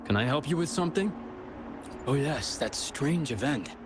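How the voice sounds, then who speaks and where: A man voicing a helpful mine guard in a video game, explaining things in a measured, thoughtful way. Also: mid-range voice, lively intonation, noisy background, natural voice. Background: game music.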